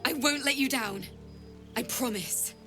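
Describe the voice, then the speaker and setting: A woman's voice: high voice, unhurried, over loud music. A young woman voicing an earnest female bard who talks in an eager, heartfelt way. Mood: grateful.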